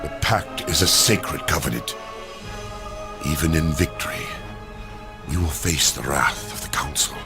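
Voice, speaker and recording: An actor voicing a grave, anxious, dignified old angel in a video game, speaking formally and theatrically. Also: male voice, a bass voice, a little slow, pauses often, over music. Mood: solemn. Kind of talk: video game drama acting.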